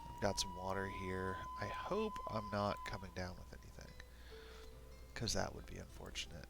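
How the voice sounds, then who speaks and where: A man's voice: low voice, wildly swooping pitch, normal speed, creaky. A man live-streaming alone at his desk mic, rambling and thinking aloud with many fillers, a bit sniffly. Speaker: streamer making battle maps. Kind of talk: casual live-stream talk to himself. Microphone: desk microphone. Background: quiet background music.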